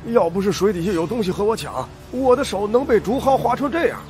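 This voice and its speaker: Man acting a shrill, panicked father. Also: expressive, fast talker, a little mellow. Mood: agitated. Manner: urgent, exclaiming. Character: panicked, shaken middle-aged father in a ghost story.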